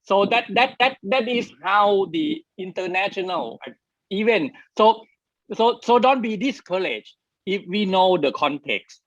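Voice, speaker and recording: A man speaking on an online panel, halting, with many restarts and repeated words. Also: high-pitched, lively intonation, fairly bright tone, no room sound. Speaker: webinar speaker. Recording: dry, dull lo-fi call audio.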